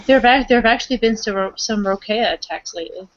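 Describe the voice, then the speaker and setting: A woman's voice: mid-range voice, fast, a crowd in the background. A woman chatting casually in character in a roleplaying game, hesitant and conversational. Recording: dull lo-fi recording.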